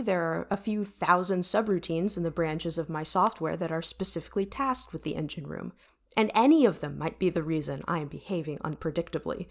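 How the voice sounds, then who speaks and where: Low-voiced, witty starship AI. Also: female voice. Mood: boastful, defensive. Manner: wordy, boastful, technical way of talking. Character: witty, vain, easily flustered starship artificial intelligence. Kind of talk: audio drama dialogue.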